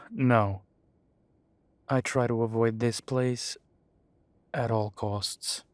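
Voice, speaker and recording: A sardonic half-breed werewolf, played by a man in an audio drama, talking slowly in a dry, wry tone. His voice sits mid-range and sounds close and dry, with no room around it. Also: mid-range voice, a little mellow, no echo.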